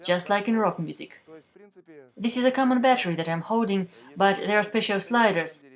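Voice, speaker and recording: A woman with a mid-range voice reads a translated news voice-over. Her delivery is measured and steady, and soft instrumental music plays faintly beneath her. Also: mid-range voice. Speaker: voice-over interpreter for a news feature.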